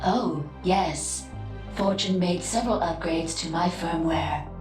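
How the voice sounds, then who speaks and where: Composed, echoing female android. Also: lowish voice. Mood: matter-of-fact. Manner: precise, measured, formal. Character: composed, precise female android crew member, dutiful. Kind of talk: video game voice acting. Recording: echoing voice with loud music.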